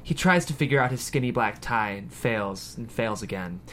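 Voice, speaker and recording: A man's voice: medium pitch, expressive, normal speed, sharp tone, a little hiss or hum. A man reading scripted screenplay stage directions as the narrator of a table read.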